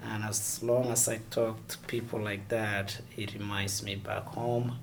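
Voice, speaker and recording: A man from Somalia, answering interview questions haltingly and searching for words. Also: lowish voice, flat delivery, slight background noise. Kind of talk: oral history interview.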